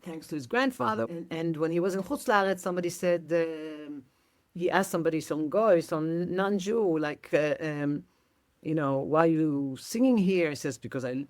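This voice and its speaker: A middle-aged woman from Belgium who talks casually and tells stories with energy, acting out the people she quotes and often restarting her sentences. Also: fairly low pitch. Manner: animated, acts out quoted dialogue, frequent restarts. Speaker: activist guest. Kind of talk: conversational storytelling.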